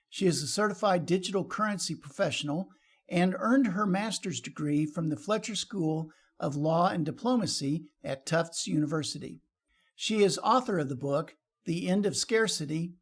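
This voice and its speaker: A man reading a scripted introduction for the show, with a steady announcer's delivery. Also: fairly high pitch, husky.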